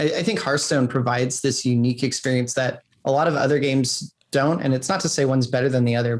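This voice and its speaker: A man being interviewed as a game designer, talking casually in rambling explanations peppered with "like". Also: mid-range voice.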